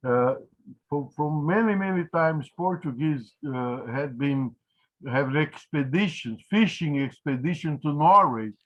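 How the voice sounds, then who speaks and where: A man joining an online panel discussion, speaking haltingly and repeating words. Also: on the high side, animated. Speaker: discussion participant. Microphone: video call. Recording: muffled lo-fi audio.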